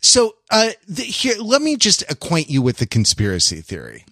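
A man's voice: a little high, huge pitch swings, normal speed, gravelly, sharp tone, no room sound. Casual male podcaster, close dry sound. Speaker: podcast co-host. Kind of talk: casual panel discussion.

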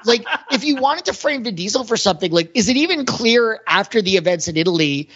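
A man's voice: high voice, animated, a little fast, fairly bright tone, husky. Male podcaster, loose and meandering conversational delivery, working out thoughts aloud, somewhat dull audio. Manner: rambling, thinking aloud. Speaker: podcast host. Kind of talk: casual movie discussion.

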